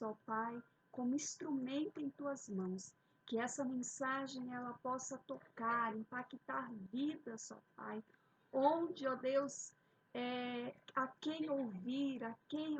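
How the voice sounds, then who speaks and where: Fervent woman preacher, high husky voice. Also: low-quality recording. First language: Portuguese. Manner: fervent, repeated "queridos" and "ó Pai". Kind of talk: sermon with opening prayer.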